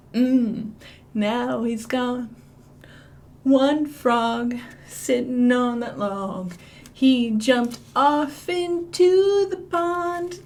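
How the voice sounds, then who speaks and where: Slow sing-song children's librarian woman. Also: on the high side. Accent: Midwestern American. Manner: slow, sing-song, playful with dramatic whispers. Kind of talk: storytime songs and read-aloud.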